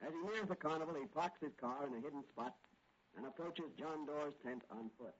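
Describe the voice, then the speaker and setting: An actor playing a dramatic, urgent announcer narrating a 1940s radio serial. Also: male voice, a little high, brisk, breathy edge. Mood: suspenseful. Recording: muffled old radio recording. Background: loud dramatic music.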